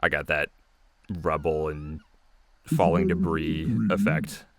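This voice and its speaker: A man's voice: extremely low pitch, steady tone, takes it slowly. A man giving casual, unscripted creator commentary on his own animation in a hesitant, rambling, self-effacing way.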